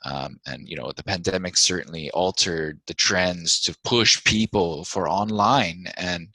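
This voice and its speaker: A deep-voiced man with a bright, forceful edge talks as an interview guest. He sounds modest and earnest and often restarts his sentences. The sound is dry and close. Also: a little slow, dry recording. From Hawaii. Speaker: guest entrepreneur. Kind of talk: casual interview talk.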